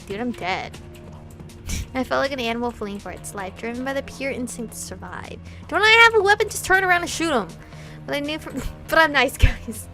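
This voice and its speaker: A woman with a fairly high voice plays through a story game, switching between reading the text and chatting about it. Her commentary is informal, full of slang and swearing. Music plays faintly behind her. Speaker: host of a visual novel playthrough. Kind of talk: casual gaming commentary mixed with reading story text aloud. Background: background music.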